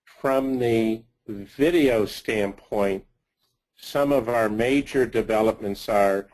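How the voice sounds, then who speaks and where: A man giving a slide presentation of financial results as a company executive, speaking haltingly in short phrases. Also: lowish voice, darkish tone, rough recording.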